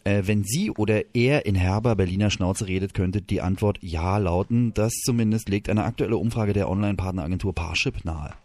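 A man's voice: on the low side, a little fast, hardly any pauses, darkish tone. A man hosting a radio show, bantering casually with his co-host and reading out news items with teasing asides.

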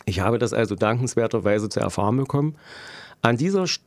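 Deliberate middle-aged man, dry and close-sounding. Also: on the low side, lively intonation, dry recording. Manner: deliberate, halting, dryly ironic. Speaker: local politician interviewed, road planner by profession. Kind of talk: interview answers, explanatory political commentary.

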